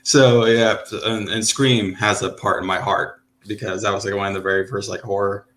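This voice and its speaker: A man in his thirties hosts a casual podcast chat, his voice sitting a little low but sounding bright and forward. He talks loosely, circling back with fillers and restarts as he thinks. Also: little pitch movement, moderate pace. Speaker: podcast host.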